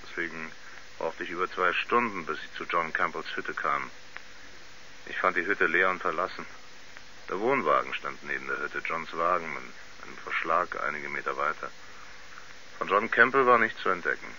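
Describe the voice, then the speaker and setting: An actor playing a plain-spoken country sheriff, giving a halting, thoughtful witness account in a radio play. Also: male voice, very deep voice, sharp tone, heavily acted. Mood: puzzled, matter-of-fact. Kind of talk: 1970s German radio play acting. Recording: slightly dull, faintly noisy 1970s radio recording.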